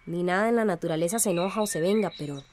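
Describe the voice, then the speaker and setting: A woman's voice: fairly low pitch, very fast, darkish tone, a little hiss or hum, natural voice. An actress in a radio drama playing an angry, grieving young village woman with a Central American accent, speaking emotionally. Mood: frustrated. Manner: emotional, exasperated.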